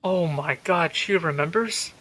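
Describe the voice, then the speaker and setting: A man's voice: a little high, unhurried, background noise. A man reacting casually to a video game as a streamer, in short, informal interjections. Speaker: gaming streamer. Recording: game music behind voice.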